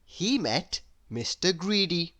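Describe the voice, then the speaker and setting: A woman reading a children's story aloud in an expressive, deliberate storytelling manner. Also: fairly low pitch, no echo.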